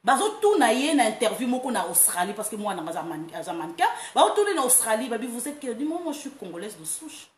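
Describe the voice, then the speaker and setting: A woman from France hosting a casual online monologue, animated and quick to laugh, breaking into playful chants. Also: fairly high pitch. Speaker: online talk host. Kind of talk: casual monologue, mixing Lingala and French. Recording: roomy echo, faint background noise.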